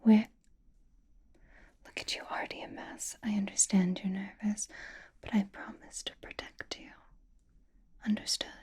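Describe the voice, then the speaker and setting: A woman playing a seductive, possessive female vampire in an ASMR roleplay, teasing and doting in a soft, breathy whisper. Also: mid-range voice, level tone. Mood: doting, chiding. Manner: teasing, doting, slow and deliberate.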